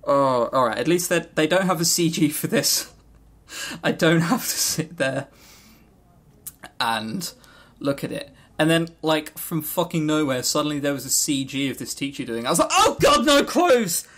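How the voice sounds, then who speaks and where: A man co-hosting a podcast, chatting casually about games and telling stories in an animated, jokey, sweary way. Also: a little high, moderate pace. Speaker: podcast co-host.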